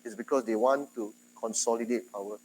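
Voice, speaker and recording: A man from Singapore with a Singaporean English accent, giving a university lecture in a chatty, animated way. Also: medium pitch, a little fast, slight background noise, poor audio. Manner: chatty, animated, quotes others in dialogue.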